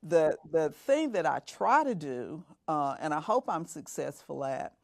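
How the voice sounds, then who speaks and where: Measured middle-aged woman mentor. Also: deep voice, very animated, gravelly, a little mellow, no echo, studio quality. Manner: measured, repeats phrases for emphasis. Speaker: mentor and podcast guest. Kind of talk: reflective interview talk.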